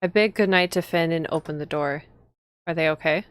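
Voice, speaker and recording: A woman's voice: low voice, a little fast, clean tone, dry recording, clean studio sound. A woman reading visual novel text aloud as a streamer, expressively, with casual reactions to the story.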